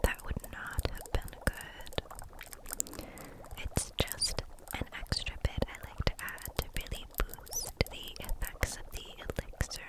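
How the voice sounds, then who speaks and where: A woman whispering an ASMR roleplay as a gentle, caring kitchen witch, soothing and reassuring in manner. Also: slow.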